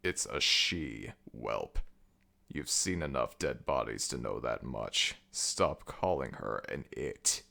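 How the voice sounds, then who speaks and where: A man acts out an ancient jackal god ruling the underworld in an audio roleplay. The voice is very low and gravelly, with slow, imperious delivery. He is stern, deliberate and menacing. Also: expressive, pushed and bright, full character voice. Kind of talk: ASMR audio roleplay acting.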